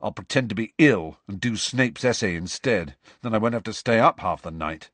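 A man's voice: lowish voice, animated, moderate pace, a bit bright, no echo. A man voicing a glum teenage schoolboy who mutters.

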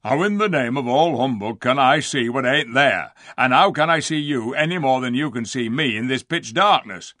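A man voicing a surly, suspicious dwarf in a storybook reading, gruff and blustering as he grumbles in colloquial speech. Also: mid-range voice, animated, normal speed, hardly any pauses, sharp tone, dry recording, clean studio sound. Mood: scornful. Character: surly, defiant, suspicious dwarf, scornful and rude.

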